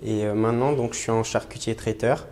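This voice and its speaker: A man's voice: fairly low pitch, fairly even intonation, a little fast, darkish tone, a little hiss or hum. A young man in his twenties from French Guiana, an apprentice butcher, talking about his training with frequent hesitations. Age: 20s. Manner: hesitant, many fillers. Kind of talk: interview portrait.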